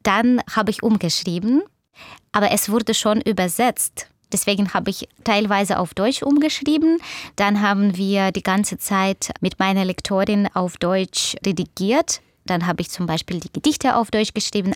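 A woman's voice: mid-range voice, no room sound, studio quality. A woman in her thirties from Ukraine, a native Russian speaker, speaking German thoughtfully as a radio interview guest. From Ukraine (Odessa). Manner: thoughtful, occasional laughter, non-native grammar slips. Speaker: author and doctor, interview guest. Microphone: studio microphone.

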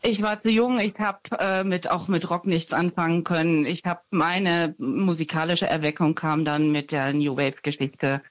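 A woman's voice: deep voice, steady tone, normal speed, hardly any pauses, no room sound. Hesitant woman calling in by phone. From Germany. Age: 50s. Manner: hesitant, rambling, lots of fillers. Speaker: caller. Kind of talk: phone-in chat. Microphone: phone line. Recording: dull phone line.

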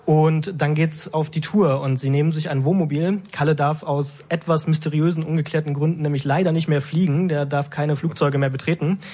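A man's voice: a little high, steady tone, a little fast, hardly any pauses, warm tone. A man being interviewed on radio, casually explaining a story with hesitations and amusement. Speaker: guest, sitcom maker.

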